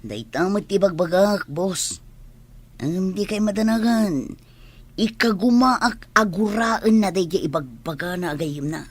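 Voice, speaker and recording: A woman playing a sly, eager sidekick in a radio drama, persuasive and animated, with a Filipino accent. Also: medium pitch, measured pace, no room sound, lightly acted. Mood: eager, reassuring.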